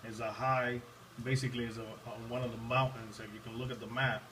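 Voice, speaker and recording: A man's voice: mid-range voice, little pitch movement, a little fast, a bit bright. Man from Puerto Rico, distant and noisy. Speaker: amateur radio operator. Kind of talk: casual ham radio conversation. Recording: distant, noisy room capture.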